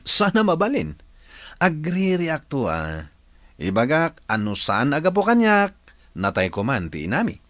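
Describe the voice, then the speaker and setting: A man's voice: a little high, huge pitch swings, measured pace, pauses often, a bit bright, no room sound, exaggerated voice. A man playing a pushy, grasping elder brother in a radio drama, emphatic and complaining, with an Ilocano accent. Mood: scheming.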